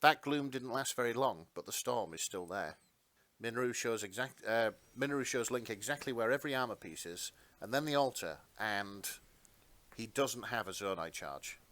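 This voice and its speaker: A man's voice: mid-range voice, animated, normal speed, fairly bright tone, no room sound. A man narrating fan fiction in a dramatic reading style.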